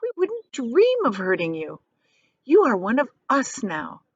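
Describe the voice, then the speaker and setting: A woman voicing a kindly, sympathetic giant ladybug from a children's storybook, with a gently reassuring, exclaiming manner. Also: on the high side, huge pitch swings, a little slow, slightly rough, dry recording, dull audio, pitched above the performer's own voice, full character voice. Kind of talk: children's storybook read-aloud.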